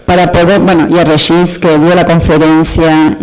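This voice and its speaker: A native Spanish-speaking woman with a Latin American accent, speaking slowly and carefully at an academic round table. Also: lowish voice, level tone, a little mellow, rough recording. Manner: apologetic, slow and careful, mixing Spanish and Portuguese. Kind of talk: formal conference presentation. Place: university lecture amphitheater.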